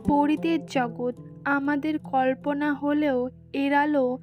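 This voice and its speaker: A woman's voice: high-pitched, level tone, takes it slowly, music in the background. A woman reading scripted fairy-tale narration as the narrator of a story.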